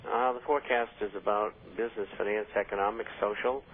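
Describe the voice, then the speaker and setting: A man hosting a radio talk show, speaking slowly and haltingly with many fillers while losing his voice. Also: on the low side, steady tone. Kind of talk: casual radio talk and listener questions. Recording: tinny phone-line radio audio with faint hiss.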